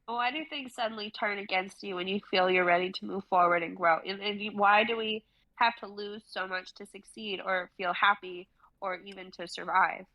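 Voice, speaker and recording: A woman's voice: medium pitch, fairly even intonation, normal speed, no room sound, dull audio, natural voice. A woman reading the part of an anxious, searching young woman traveler in a stage play, hesitant and earnest. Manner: hesitant, earnest, self-dismissing.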